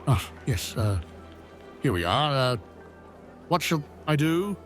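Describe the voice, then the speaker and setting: A man playing a grand, excitable Roman emperor in a fantasy audio drama, given to flustered stammering. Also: fairly high pitch, takes it slowly. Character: excitable, easily swayed male Roman emperor. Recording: faint music underneath. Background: music.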